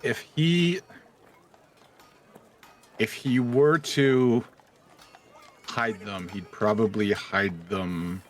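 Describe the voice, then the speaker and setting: A man's voice: on the low side, very slow, long pauses. A man chatting casually as a player in a tabletop role-playing game.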